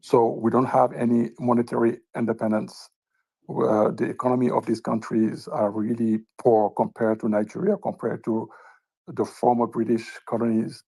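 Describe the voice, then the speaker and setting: Gravelly West African man, halting delivery. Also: mid-range voice, flat delivery, warm tone, low-quality recording. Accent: Francophone West African. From Francophone West Africa. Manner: halting, searching for words, repetitive. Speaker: audience questioner. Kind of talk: long impromptu question.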